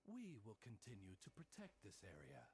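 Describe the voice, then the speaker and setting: A man's voice: on the low side, wildly swooping pitch, moderate pace, a little mellow, a little hiss or hum. A man playing a courteous, protective talking bird servant in a video game, speaking in a formal, deferential, theatrical way. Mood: formal, firm.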